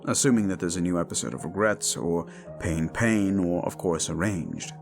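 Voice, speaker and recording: A man with a fairly low voice talks casually to his listeners as the host of an audio roleplay channel. Music plays clearly behind him as he gives his outro. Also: expressive, normal speed.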